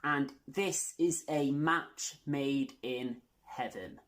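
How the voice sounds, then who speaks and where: A woman's voice: very deep voice, very slow. A woman hosting a video channel, making a casual announcement to viewers with tongue-in-cheek, self-deprecating humour.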